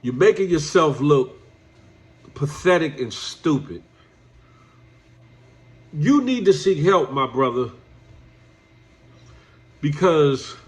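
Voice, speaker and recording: Nasal, congested man lecturing slowly. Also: medium pitch. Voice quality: nasal, stuffed up. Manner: drawn-out, preachy, addresses the subject as "my brother". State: congested from allergies. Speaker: YouTube commentator. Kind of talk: direct-address commentary vlog.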